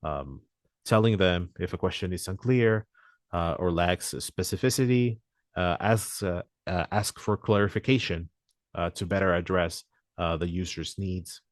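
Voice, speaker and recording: A man presenting a software demo walkthrough in a webinar, talking hesitantly with frequent "uh" fillers. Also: sits low, unhurried, no room sound, clean studio sound.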